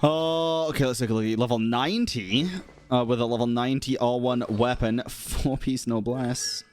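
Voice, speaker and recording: A man's voice: medium pitch, fairly even intonation, moderate pace, soft background music. A man commentating casually on his live gameplay, slangy, joking and swearing freely. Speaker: game streamer.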